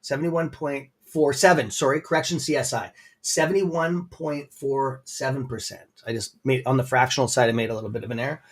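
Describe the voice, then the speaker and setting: A man's voice: medium pitch, fairly even intonation, a little fast, hardly any pauses. A Canadian man rambling through stock holdings in a casual livestream chat, with many fillers and a friendly tone. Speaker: guest presenter on a stock livestream.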